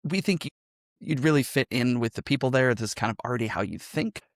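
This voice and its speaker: A man's voice: medium pitch, fast talker, no echo, studio quality. A man telling his story as a podcast guest in a conversational, reflective way, often checking in with a "right?" as he explains. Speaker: podcast guest, improv teacher and game master.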